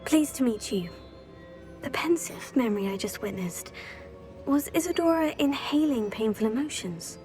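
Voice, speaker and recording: A woman's voice: fairly high pitch, expressive, moderate pace, darkish tone, lightly acted. A woman playing a polite, determined young witch student in a video game scene. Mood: polite, assured. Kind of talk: dramatic video game acting. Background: faint background music.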